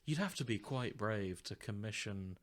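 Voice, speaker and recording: A man's voice: fairly low pitch, animated, normal speed. A man co-hosting a podcast, chatting casually with friends in a teasing, jokey way. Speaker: podcast co-host. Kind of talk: casual chat among friends.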